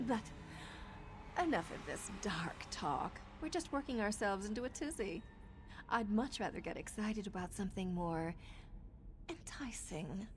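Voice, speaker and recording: An actress voicing a flirtatious, wicked noblewoman assassin in a video game, with a teasing, breathy manner. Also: mid-range voice, expressive, measured pace, a little hiss or hum, close to an everyday voice. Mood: coy. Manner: teasing, coquettish, breathy asides. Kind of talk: video game character acting. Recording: background music under the voice.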